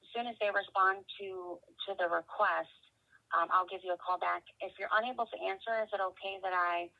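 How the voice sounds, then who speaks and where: A woman working as a customer service representative on a telephone call, speaking haltingly as she thinks aloud and checks details. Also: mid-range voice, fairly even intonation, creaky. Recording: dull, band-limited telephone line.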